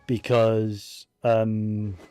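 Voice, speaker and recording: Man, dark relaxed voice, casual gameplay commentary, thinking aloud, faint music behind. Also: lowish voice, drawn-out words, clean tone. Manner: musing, thinking aloud to himself. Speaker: video game streamer.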